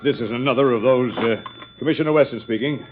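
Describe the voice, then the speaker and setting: A man plays an exasperated, curt police commissioner in an old radio crime drama. His voice sits at a middle pitch, and the recording is dull with age. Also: fast talker, slight background noise, lightly acted. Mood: impatient. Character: brusque, impatient male police commissioner. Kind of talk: 1930s-40s radio drama acting.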